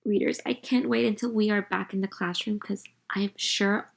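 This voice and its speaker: Female primary teacher, mid-pitched voice, guiding children through a storybook with expressive delivery and direct questions. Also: moderate pace, creaky. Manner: expressive, addresses young listeners directly with questions. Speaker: teacher reading aloud to young children. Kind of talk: interactive picture-book read-aloud with commentary.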